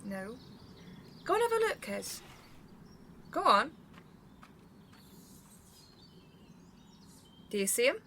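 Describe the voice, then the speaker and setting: An actress playing a suspicious, stubborn and blunt long-time resident in a naturalistic audio drama. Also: female voice, a little high, sing-song, moderate pace, vocal fry, background noise. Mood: brisk, defensive.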